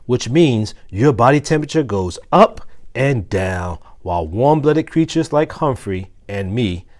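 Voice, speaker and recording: A man reads the part of a friendly mustached janitor from a children's storybook. He explains things kindly and emphatically, at a slow pace, with a very lively pitch. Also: lightly acted. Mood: explaining, friendly. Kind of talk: storybook character dialogue.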